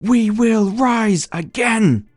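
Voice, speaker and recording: A man playing a centuries-old female elf politician, giving an impassioned, grandiose rally speech in an audio drama with drawn-out, emphatic phrasing. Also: high-pitched, expressive, dry recording, pitched above the performer's own voice, exaggerated voice. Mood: rousing, defiant.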